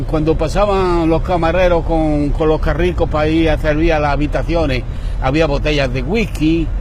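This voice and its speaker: A man's voice: fairly high pitch, darkish tone, slight background noise. Older Spanish man, indignant and rambling. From Spain. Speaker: returned emigrant. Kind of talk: casual street chat.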